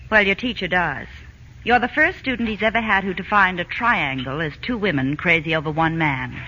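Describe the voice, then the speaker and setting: An actress playing a brisk, dry, dignified lady judge in a 1940s radio comedy. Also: fairly low pitch, sharp tone. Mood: dry, sisterly. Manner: crisp, stern, dry. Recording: muffled old radio recording, faint hiss.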